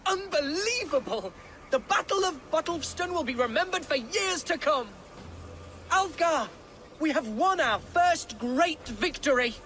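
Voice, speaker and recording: High-voiced, pompous young nobleman. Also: lively intonation, measured pace, pushed and bright, slight background noise, exaggerated voice. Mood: triumphant. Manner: florid, theatrical, pompous. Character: melodramatic, naive young nobleman, florid and excitable. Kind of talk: video game cutscene acting.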